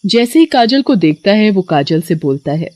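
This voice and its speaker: A woman's voice: mid-range voice, very animated, moderate pace, mellow, no echo. A woman narrator reading a scripted story.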